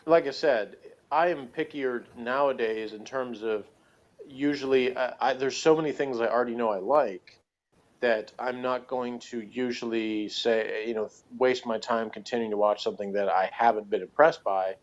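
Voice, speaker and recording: A man's voice: mid-range voice, fairly even intonation, normal speed. A man in casual conversation as a podcast co-host, talking in a hesitant, rambling way and often correcting himself mid-sentence. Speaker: podcast co-host reviewing TV shows. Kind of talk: casual chat. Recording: dull lo-fi audio.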